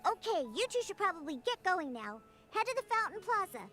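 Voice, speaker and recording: A woman's voice: very high voice, big pitch swings, brisk, fairly bright tone, faint music behind, exaggerated voice. A woman voicing a young, feisty, curious cat girl in an anime game, perky and a little bossy.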